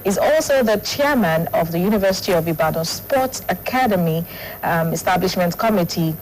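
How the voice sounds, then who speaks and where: A woman's voice: fairly low pitch, animated, a little fast, a little mellow, slight background noise, poor audio. A woman with a Nigerian accent hosting a live morning show, introducing and interviewing a guest. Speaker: television show host.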